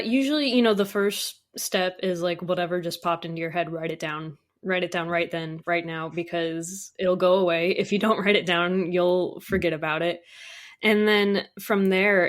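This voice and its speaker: A woman with a fairly low voice talks casually as an interview guest. She is a composer, and her delivery is informal and enthusiastic. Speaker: guest, composer for audio dramas.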